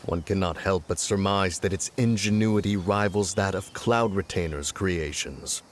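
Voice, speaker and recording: Man voicing a deep-voiced pompous ancient sage. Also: moderate pace, a little hiss or hum, lightly acted. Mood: measured. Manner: lofty, formal, curious. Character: pompous ancient male adeptus, calm and inquisitive. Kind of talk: game voice acting.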